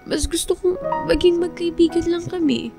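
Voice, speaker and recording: A woman's voice: very high-pitched, normal speed, vocal fry, over music. A woman playing a guilty young friend in a radio drama, pleading and apologetic as she confesses. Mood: guilty, confessing. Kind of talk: Filipino radio drama acting.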